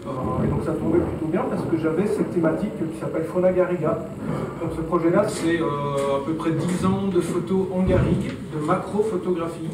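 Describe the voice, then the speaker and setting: A man's voice: a little high, fairly even intonation, brisk. A man from Belgium, a photographer presenting his work hesitantly, with many fillers. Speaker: photographer and naturalist. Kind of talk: informal presentation at an exhibition. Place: art gallery, indoors. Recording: echoey room, distant mic, background noise.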